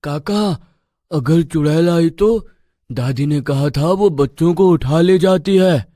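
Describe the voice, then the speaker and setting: A woman's voice: deep voice, slow pace, warm tone, no echo, studio quality. A woman voicing a kind, innocent young village boy in a storybook tale, speaking earnestly. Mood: worried.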